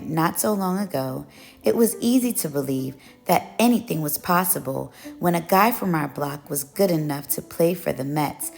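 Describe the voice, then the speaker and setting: A woman reading a picture book aloud as a storyteller, with expressive, measured narration. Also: fairly low pitch, gravelly. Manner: measured storytelling, acts out children's quoted calls.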